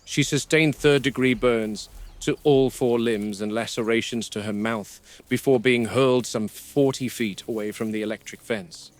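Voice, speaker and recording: A man playing a grim, wary game warden who narrates a found-footage horror field log in a measured, grave, deliberate manner. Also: mid-range voice, steady tone, natural voice. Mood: grim, clinical. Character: grim, wary male game warden logging predator behavior. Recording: noisy VHS-style found-footage recording.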